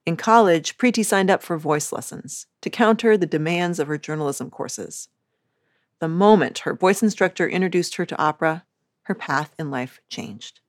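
A woman host delivering a measured scripted introduction. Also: lowish voice, dry recording, studio quality. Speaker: podcast host. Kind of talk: scripted narration. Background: faint music.

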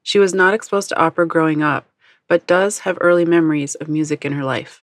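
A woman reporter narrating a scripted feature in an even, composed way. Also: deep voice, fairly quick, no room sound.

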